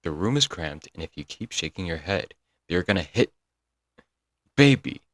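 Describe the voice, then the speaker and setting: Man acting as deep-voiced lovesick broke kidnapper. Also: big pitch swings, moderate pace, fairly bright tone, dry recording, studio quality, exaggerated voice. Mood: worried, tender. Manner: coaxing, rambling, pet names, nervous chuckles. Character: lovesick broke young male yandere kidnapper, doting, apologetic. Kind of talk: comedic roleplay audio drama, intimate monologue to listener.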